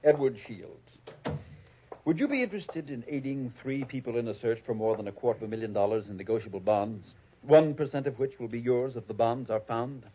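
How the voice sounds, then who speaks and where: An actor playing a prim, conniving, avaricious heir, speaking formally and precisely in 1940s radio style. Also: male voice, mid-range voice, brisk, a little hiss or hum, close to an everyday voice. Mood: formal, smooth. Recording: thin, phone-like old radio recording.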